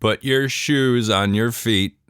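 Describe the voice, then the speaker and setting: A man's voice: mid-range voice, slow pace, fairly bright tone, clean tone, dry recording, clean studio sound. A man voicing a busy, unsmiling, weary dad in a children's story. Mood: flat, weary.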